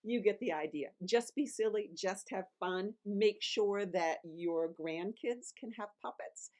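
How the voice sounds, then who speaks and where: A woman's voice: on the low side, darkish tone. An older woman hosting a casual video, talking in a playful, encouraging way. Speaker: children's author and host. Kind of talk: casual vlog for grandparents.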